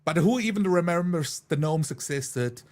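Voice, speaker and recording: A man's voice: on the high side, lively intonation, moderate pace, darkish tone. A man chatting casually as a player on a livestreamed tabletop game, talkative, with a wry, joking manner.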